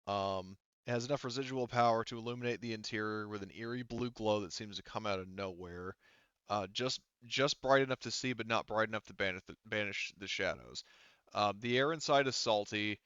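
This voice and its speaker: A man running a tabletop roleplaying game as game master, describing scenes and handling rules in a halting, conversational way. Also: fairly low pitch, fairly bright tone, clear, resonant tone, dry recording, muffled recording. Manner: halting, conversational, often rephrasing mid-thought. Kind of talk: improvised tabletop game narration and casual rules talk.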